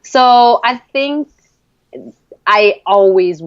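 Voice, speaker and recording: A woman from Argentina answering interview questions conversationally in hesitant, halting phrases. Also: on the high side, dry recording, highs cut off. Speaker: entrepreneur guest.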